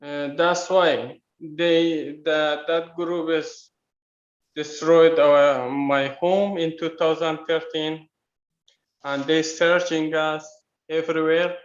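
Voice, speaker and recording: Halting Afghan man, echoey call. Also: a little high, little pitch movement. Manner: halting, non-native English. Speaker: guest, refugee. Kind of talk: personal account in a video meetup. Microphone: video call. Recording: echoey room, muffled video-call audio.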